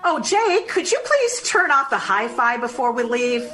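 A woman acting a cheerful, slightly anxious 1950s mother in an old-time radio drama, with a motherly, expressive delivery. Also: a little high, moderate pace. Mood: brisk, motherly.

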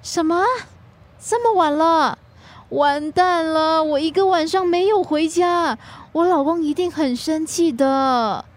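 An anxious, fragile young wife in a radio drama, with a very high voice and a slow, drawn-out delivery. She speaks Mandarin. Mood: panicked, anxious. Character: anxious young wife, tipsy, later hungover and fretful.

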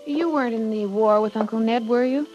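Woman playing higher-voiced genteel young lady, vintage radio. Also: a little fast, darkish tone, soft background music, natural voice. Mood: curious. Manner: genteel, inquisitive. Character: polite, curious young niece of an army major. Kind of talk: 1950s radio western acting. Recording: dull lo-fi 1950s radio recording.

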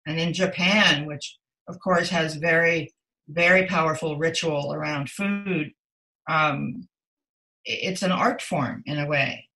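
Bright, creaky-voiced older woman. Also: deep voice, takes it slowly, poor audio. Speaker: interview guest, author. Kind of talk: reflective conversational interview answers.

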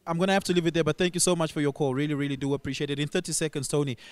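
Fast-talking South African radio host, a man. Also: fairly high pitch, flat delivery, clean tone, no room sound. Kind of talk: live radio interview.

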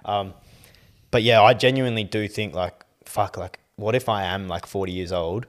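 A man's voice: fairly low pitch, normal speed. A man, rambling in casual podcast chat, full of fillers and swearing. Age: 20s. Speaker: podcast co-host.

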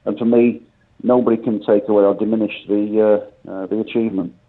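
A man's voice: fairly low pitch, little pitch movement. Man speaking over a tinny phone line. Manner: halting, many restarts and fillers. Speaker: football manager guest. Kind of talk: phone interview.

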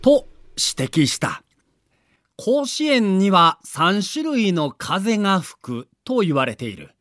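Male narrator, high and very lively delivery, dramatic scripted storytelling. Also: slow pace, no room sound. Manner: vivid storyteller, builds excitement.

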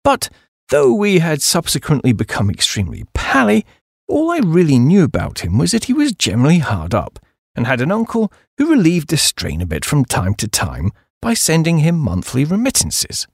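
A man acts out a flighty young English toff from a comic audiobook. His upper-class British voice swoops wildly with breezy, slangy emphasis. It has a gravelly, husky edge and a dry, close sound. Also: on the high side, huge pitch swings, normal speed, dry recording, exaggerated voice. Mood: surprised, chatty. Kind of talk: first-person comic audiobook narration in character.

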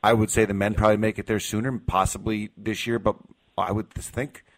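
A man's voice: on the low side, flat delivery, fairly quick. An American man talking casually as a sports panelist, thinking aloud and hedging his opinions in a loose, joking way.

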